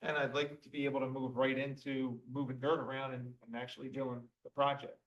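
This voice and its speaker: A man giving the manager's report at a township board meeting in an even voice, with many ums and uhs. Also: mid-range voice, fairly quick, far from the mic, highs cut off. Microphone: table microphone.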